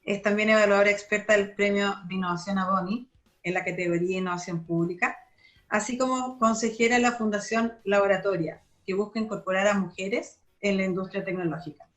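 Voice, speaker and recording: Woman radio host, stops and restarts a lot, lots of fillers. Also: mid-range voice. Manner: halting, many fillers and restarts. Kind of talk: radio interview.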